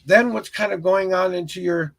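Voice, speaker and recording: A man hosting a live tarot reading in a notably high voice with a gravelly, crackly edge. His tone is husky and raspy. He talks in an earnest, encouraging, meandering way, offering casual spiritual guidance to a viewer. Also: steady tone, moderate pace, a bit bright. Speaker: tarot reader, live stream host.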